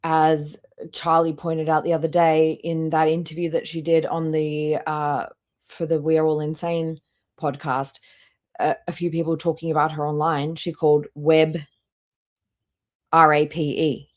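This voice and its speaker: A woman's voice: low voice, little pitch movement, a little slow. A woman hosting a live stream with casual, blunt, critical reaction commentary and some swearing.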